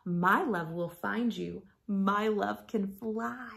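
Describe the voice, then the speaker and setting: A woman in her thirties reading a picture book to children like a schoolteacher, gently and expressively, softening to a breathy hush at line ends. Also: medium pitch, slow. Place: small living room at home.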